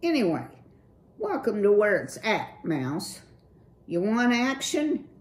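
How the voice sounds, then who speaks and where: A woman's voice: mid-range voice, sing-song, slow, pitched above the performer's own voice. A woman voicing a cool, slang-talking young male city mouse with a laid-back, breezy drawl. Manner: laid-back surfer slang, keeps saying "dude".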